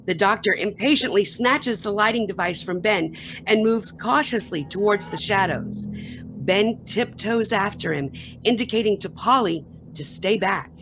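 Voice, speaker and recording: A woman delivers scripted narration for a radio play reading. Her pitch sits in the middle of the female range, and she reads stage action as a storyteller. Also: measured pace, a little hiss or hum, poor audio.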